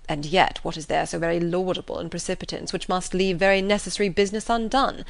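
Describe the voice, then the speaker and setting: A woman reads a proud, reserved young gentleman in an audiobook, a little lower and quieter than her narration. He speaks in cool, measured sentences with a close, dry sound. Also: steady tone, vocal fry, no echo.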